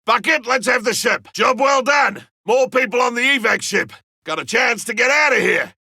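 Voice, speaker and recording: Gruff monster hunter, game callouts: husky, piercingly tense, dry studio sound, wry loner. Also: male voice, very high-pitched, moderate pace, bright, forceful tone, no room sound, shouting, full character voice. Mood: pleased, brisk. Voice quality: gravelly, raspy. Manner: blunt, wry, barking. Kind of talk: video game combat callouts.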